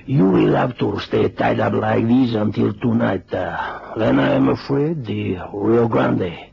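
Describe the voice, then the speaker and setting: An actor playing a smug, courteously cruel Mexican crime boss with a Mexican accent and formal, mocking phrasing in a vintage radio drama. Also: male voice, lowish voice, unhurried, vocal fry, breathy edge, exaggerated voice. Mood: smug, amused. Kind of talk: 1970s radio drama acting. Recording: muffled, hissy old radio recording.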